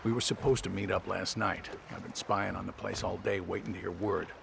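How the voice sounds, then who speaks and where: Very gravelly, shifty small-time schemer. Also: male voice, deep voice, steady tone, fairly quick, noisy background. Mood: scheming, wheedling. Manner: wheedling, street-wise, informal. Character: nervous, scheming small-time male informant. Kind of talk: scripted video game dialogue.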